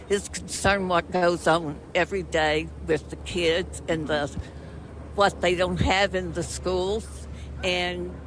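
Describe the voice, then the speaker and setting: An older man giving a halting, thoughtful street interview answer. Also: high-pitched, a bit bright, slight background noise, poor audio.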